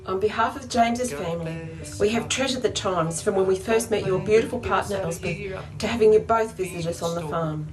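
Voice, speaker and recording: A middle-aged woman reads a prepared wedding message. Her pitch is medium and music plays clearly behind her. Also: brisk, poor audio. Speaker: groom's mother giving a wedding message. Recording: music over a recorded message.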